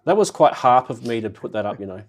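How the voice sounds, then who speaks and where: A man hosts a casual chat show. He talks at an ordinary middle pitch and cracks jokes, laughing at his own lines. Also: very animated, fast. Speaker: podcast host.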